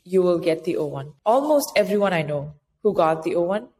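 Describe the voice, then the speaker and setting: A woman's voice: lowish voice, lively intonation, fairly quick, a little mellow. A woman speaking as an interview guest, giving practical, explanatory advice in a conversational style. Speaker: interview guest, author advising immigrant founders. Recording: echoey hall-like reverb, clear background noise.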